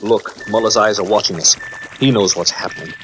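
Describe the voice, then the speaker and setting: An actor playing an eager, loyal male lab assistant in old radio drama, excitable and dutiful. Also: lowish voice, fairly quick, close to an everyday voice, poor audio. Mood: anxious, excited. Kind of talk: 1940s radio drama acting. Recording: old radio recording, music behind.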